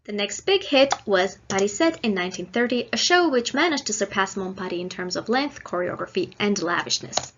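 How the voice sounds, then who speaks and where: A woman delivering a scripted academic lecture in a measured, explanatory manner. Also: medium pitch, lively intonation, fairly bright tone, highs cut off, slight background noise. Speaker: guest lecturer.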